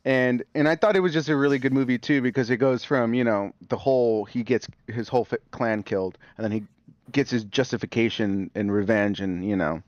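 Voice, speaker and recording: A man's voice: medium pitch, a little fast, a little mellow, no room sound, muffled recording. A man chatting casually as a podcast guest, rambling with lots of fillers and restarts.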